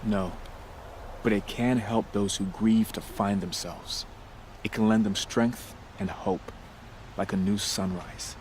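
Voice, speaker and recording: An actor playing a humble, remorseful priest in a video game, speaking in a measured, formal, earnest way. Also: male voice, on the low side, fairly even intonation, darkish tone, a little hiss or hum. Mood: earnest, solemn. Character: solemn, grieving young priest, humble and remorseful.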